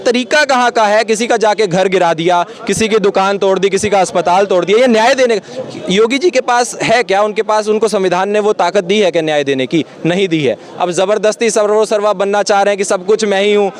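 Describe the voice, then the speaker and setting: A man's voice: high voice, a little fast. A young man from Uttar Pradesh, a student protester, arguing passionately in a street interview, firing rhetorical questions. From Uttar Pradesh, India. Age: young adult. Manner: impassioned, argumentative, rhetorical questions.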